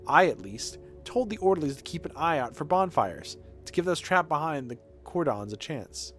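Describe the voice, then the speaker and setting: A man voicing a proud, grave young aristocratic heir from a video game, speaking in a formal, measured, solemn way. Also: on the high side, big pitch swings, fairly bright tone. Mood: defensive. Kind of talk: dramatic reading of game dialogue. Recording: game music behind the voice.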